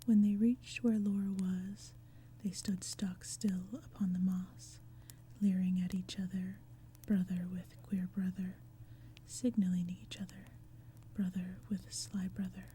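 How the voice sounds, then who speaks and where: Very soft, dark whispering woman. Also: medium pitch. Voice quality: breathy whisper. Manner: slow, gentle, measured storytelling. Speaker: ASMR reader. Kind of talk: soft whispered ASMR poetry reading. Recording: steady background ambience, crackling fire, forest sounds. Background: crackling fire and forest sounds.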